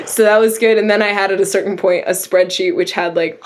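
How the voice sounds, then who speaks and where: A woman's voice: mid-range voice, steady tone, brisk. A woman, the writer of a radio drama, talking casually in an interview and often laughing. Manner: chatty, laughing, acts out quoted exchanges. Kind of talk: interview conversation.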